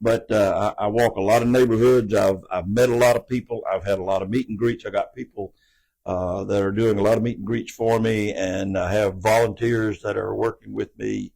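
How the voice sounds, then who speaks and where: A man's voice: fairly low pitch, steady tone, normal speed, gravelly, darkish tone, low-quality recording. A man, answering interview questions in an earnest, folksy way and often repeating words as he goes. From American South. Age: 80s. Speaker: city council candidate.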